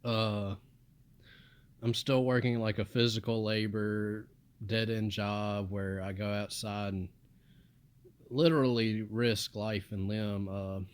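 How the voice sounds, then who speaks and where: Monotone, mumbling young man. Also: lowish voice, slow pace, clean tone. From United States. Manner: monotone, flat delivery with mumbled asides. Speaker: vlogger. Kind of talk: casual rambling monologue.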